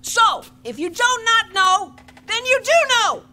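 An actress playing a sly, pushy, troublemaking kid in a comic audio drama. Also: female voice, extremely high pitch, very animated, pushed and bright, soft background music, exaggerated voice. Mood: pushy, triumphant. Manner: pushy, fast-talking logic.

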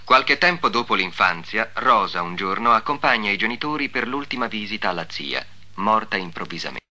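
A man's voice: fairly low pitch, lively intonation, fairly quick, noisy background. A man giving a formal, solemn scripted narration in the style of the 1950s.

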